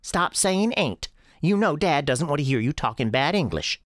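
Performer as a bossy, high-voiced scolding girl. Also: big pitch swings, moderate pace, dry recording, studio quality, natural voice. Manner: scolding, corrective. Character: bossy, sharp-tongued protective sister, imaginary girl companion.

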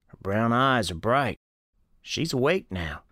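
A man playing a folksy, watchful old American storekeeper who is gentle with children, telling his story in a radio drama. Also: medium pitch, very animated, slow, dry recording, clean studio sound, heavily acted. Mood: soothing. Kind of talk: first-person scripted storytelling in radio drama.

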